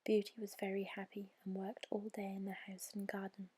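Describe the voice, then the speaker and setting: A woman reads a bedtime story in a gentle, soothing ASMR whisper, breathy and measured, close to the microphone. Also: mid-range voice, monotone, no room sound.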